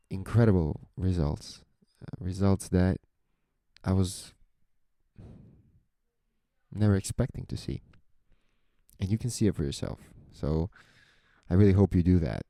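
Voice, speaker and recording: A young man speaks slowly into a close microphone, musing aloud in a soft, soothing voice. His tone is low and very mellow, and he drifts between thoughts. The sound is dry, with no room around him. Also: no echo. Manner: slow, introspective, rambling. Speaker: podcast host. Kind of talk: reflective solo monologue. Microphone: close podcast microphone.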